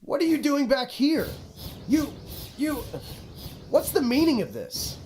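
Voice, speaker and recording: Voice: high-pitched, sing-song, unhurried, darkish tone, soft background music. An actor playing a pompous, exasperated video game narrator who scolds the player in a dramatic, haughty, petulant way. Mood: furious outburst. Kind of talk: theatrical scripted game narration.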